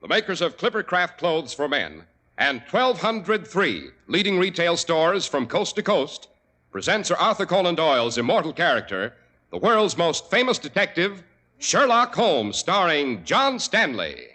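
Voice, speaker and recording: A man announcing a 1940s radio drama and reading its sponsor's clothing pitch in a crisp, salesmanlike way. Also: very animated, a little slow, sharp tone, slight background noise.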